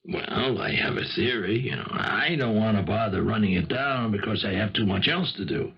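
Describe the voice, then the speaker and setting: A shrewd, folksy police lieutenant in a vintage radio mystery. His voice is low and husky, his manner casual and slyly probing. The old recording is muffled. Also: moderate pace, exaggerated voice. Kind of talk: 1970s radio drama acting.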